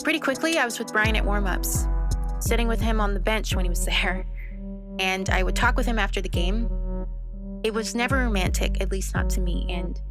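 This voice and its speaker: An actress playing a reflective teenage girl sleuth, narrating her own story in an audio drama in a measured, confiding way. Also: mid-range voice, close to an everyday voice. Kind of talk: first-person scripted drama narration. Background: loud music bed.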